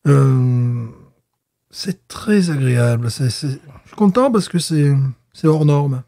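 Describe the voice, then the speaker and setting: A man's voice: fairly high pitch, lively intonation, slow, gravelly, warm tone. A man co-hosting a podcast, tasting a beer in casual chat, hesitant with many fillers and restarts. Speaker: podcast co-host.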